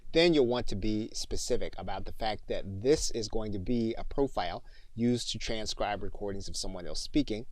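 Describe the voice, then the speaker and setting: A man's voice: on the low side, dry recording. A man narrating an instructional screencast as a trainer, explaining things step by step.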